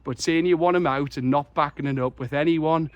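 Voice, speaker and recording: Young man, croaky, passionate, rambling match reaction. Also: on the high side, fairly quick, no echo, dull audio. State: croaky from a chest infection. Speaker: football fan vlogger. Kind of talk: excited solo match reaction.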